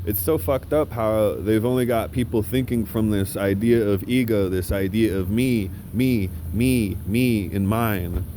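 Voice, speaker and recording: Man, low-pitched, blunt, profane, sarcastic, outdoor recording, urban traffic noise. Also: unhurried, few pauses, dark tone. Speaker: vlogger. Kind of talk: profane ranting monologue.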